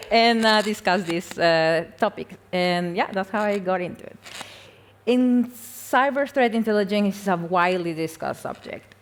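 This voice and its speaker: A young woman from Peru, giving a casual, self-deprecating conference talk full of fillers and small laughs. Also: fairly low pitch. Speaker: conference speaker. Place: conference hall.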